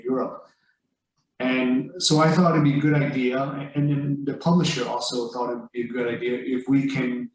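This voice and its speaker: A man's voice: medium pitch, a little mellow. A man answering interview questions about investing, hesitant, with frequent fillers and restarts. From Vietnam, raised in the United States. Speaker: investment executive and author. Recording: distant, very echoey room.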